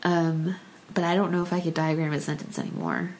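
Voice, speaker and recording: A woman from the United States whose first language was Korean, talking in a relaxed conversation, thoughtful and full of small restarts and fillers. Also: deep voice, fairly quick. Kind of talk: casual conversation.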